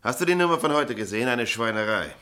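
An actor playing a brusque, sarcastic veteran newspaper editor in an old radio play. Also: male voice, medium pitch, animated, fast talker, sharp tone. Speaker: newspaper editor standing in for the director.

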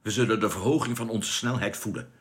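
An actor playing an anxious but commanding spaceship captain in a science fiction radio drama, giving urgent, clipped orders. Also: male voice, lowish voice, fast talker, close to an everyday voice. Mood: tense, commanding.

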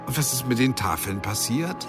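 A man's voice: medium pitch, expressive, a little fast, over music, natural voice. An actor playing a weary, bitter, dismissive male heavenly superior in a radio drama, declaiming with pompous impatience. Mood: impatient.

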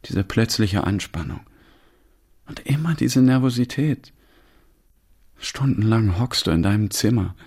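A man's voice: lowish voice, lively intonation, a little slow, pauses often, a little mellow, husky, heavily acted. An actor playing an earnest, weary male newcomer in a naturalistic radio drama. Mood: reproachful. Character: Taha, weary, questioning male newcomer to Germany.

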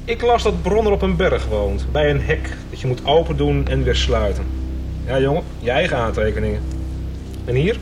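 Man playing young bully, taunting, aggressive, lively mid-range voice, radio soap, music behind. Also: mid-range voice, moderate pace, close to an everyday voice. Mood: sneering. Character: sneering, threatening young male bully.

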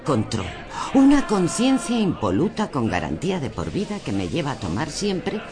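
A man acts out a docile lady devoted to consumerism in a surreal radio drama. The delivery is theatrical and preachy, with wildly swooping intonation and a Castilian accent. Loud music plays underneath. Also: on the high side, wildly swooping pitch, normal speed. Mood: blissfully smug. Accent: Castilian Spanish. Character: docile, smug female shopper devoted to consumerist control.

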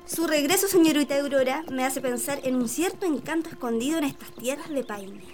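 A woman's voice: high voice, a little fast. A woman playing a devoted, nosy maid in a radio drama, fond, fussing and theatrical in her delivery. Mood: teasing, sly. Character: devoted, flattering, nosy maid to young lady. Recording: loud music behind radio drama dialogue.

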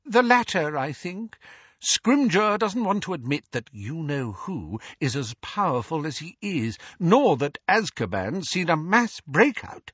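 A man's voice: fairly high pitch, very animated, unhurried, fairly bright tone, husky, no room sound, voice pushed up, full character voice. A man voicing a kindly, fussy middle-aged wizard father who hesitates with fillers. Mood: earnest worry. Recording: dry, dull lo-fi audio.

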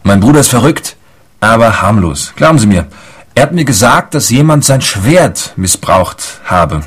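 An actor playing an eccentric, unworried client in a comic radio play, husky and matter-of-fact about absurd things. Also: male voice, fairly low pitch, big pitch swings, a little slow, heavily acted. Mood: dismissive. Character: eccentric male client, unworried, defending his crazy brother.

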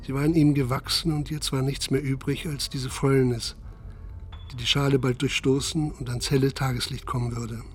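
An actor narrating a radio crime drama in a sombre, detached manner. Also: male voice, mid-range voice, flat delivery, normal speed, slight background noise, natural voice. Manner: sober, detached. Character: sombre male third-person narrator of a crime story.

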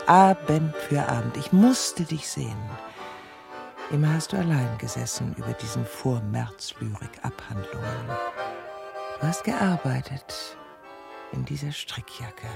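Lovesick, creaky-voiced middle-aged woman. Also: a bass voice, heavily acted. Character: lonely, lovesick middle-aged woman, scheming and self-pitying. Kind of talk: German radio drama acting, dialogue and confiding inner narration.

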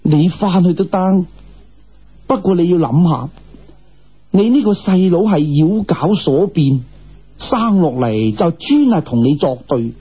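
A calm, wise immortal woman, played by a male storyteller, counsels in Cantonese in a storybook. The voice is very husky, measured and admonishing, with a slightly muffled sound. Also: a little high, full character voice. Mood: cautioning.

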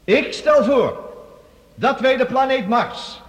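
A grand, sardonic statesman of science in a radio drama. His high voice swoops theatrically through formal oratory, with dramatic pauses, in a long echoing hall. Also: expressive, heavily acted. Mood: solemn, urgent. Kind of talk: radio play acting, formal debate speech. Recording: long reverberant hall, radio play production.

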